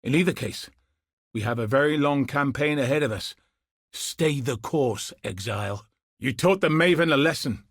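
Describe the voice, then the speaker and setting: A grim, battle-seasoned military commander from a video game. His voice is husky and sits at an ordinary male pitch, recorded very dry. He speaks with solemn, earnest resolve, like someone bound by duty. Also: animated, moderate pace, dry recording. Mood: grave, determined, then guarded hope. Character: grim, dutiful male soldier commander hunting cosmic threats. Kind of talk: scripted video game character dialogue.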